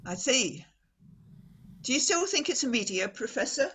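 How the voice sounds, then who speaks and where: An actress playing a radio reporter in a radio play, describing the scene formally and dramatically, as if live on air. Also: female voice, on the high side, big pitch swings, moderate pace, bright, forceful tone, slight background noise. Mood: urgent, observant. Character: woman radio reporter, breathless and awestruck at a scene. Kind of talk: radio play acting as live news commentary.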